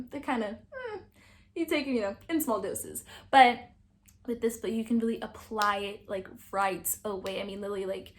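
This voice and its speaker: Young woman, high-pitched, loud and talkative, casual book review, projects her voice. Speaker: book reviewer, channel host. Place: indoors, sitting against a wall.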